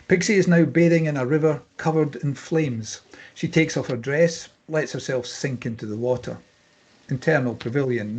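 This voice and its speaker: A man's voice: medium pitch, darkish tone, husky. A man reading out screenplay stage directions as scripted narration at a table read.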